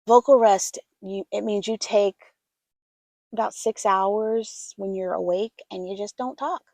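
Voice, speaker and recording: Soft-spoken woman coaching, dry close sound. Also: mid-range voice, no room sound. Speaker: voiceover coach. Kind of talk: casual instructional talk to camera.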